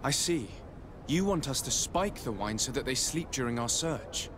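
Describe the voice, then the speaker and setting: Game hero role, male actor: polite, composed young-noble diplomat, mid-pitched, audible background noise. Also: expressive, normal speed, close to an everyday voice. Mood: businesslike, persuasive. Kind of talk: video game cutscene acting.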